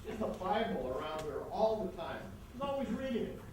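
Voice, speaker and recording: A man playing a blunt, excitable police detective in a staged comedy reading. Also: high voice, fairly quick. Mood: businesslike. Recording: distant, echoey stage recording, faint noise.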